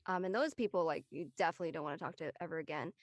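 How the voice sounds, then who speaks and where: A woman's voice: low-pitched, rapid-fire, a little hiss or hum. A woman chatting casually on a panel, giving reflective advice into a close microphone. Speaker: panelist in an online discussion.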